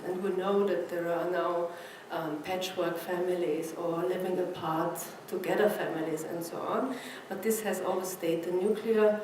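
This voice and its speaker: Woman, Austrian German accent, conference lecture, searching for words, strong room echo, clearly noisy recording. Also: mid-range voice, dark tone. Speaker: academic researcher, speaker. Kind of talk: conference lecture in non-native English. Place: presentation room with an audience. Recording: echoey room, distant mic, noisy background.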